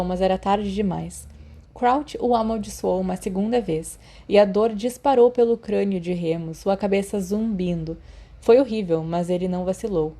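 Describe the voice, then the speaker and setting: A woman's voice: mid-range voice, mellow. A woman with a Brazilian accent reading a story aloud as scripted narration. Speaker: audiobook narrator.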